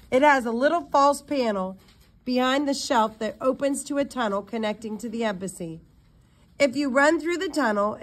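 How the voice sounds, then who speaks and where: A woman's voice: a little high, natural voice. A woman voicing a chummy, wry British man in a storybook, confiding and playful as he explains things. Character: chummy, wry British man showing off his secret-equipped hotel.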